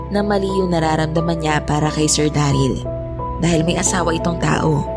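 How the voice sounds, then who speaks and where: Woman as earnest narrating friend, lowish voice. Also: natural voice. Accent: Filipino. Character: earnest, protective young woman reflecting on her friendship. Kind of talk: scripted first-person audio drama narration. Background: prominent soft background music.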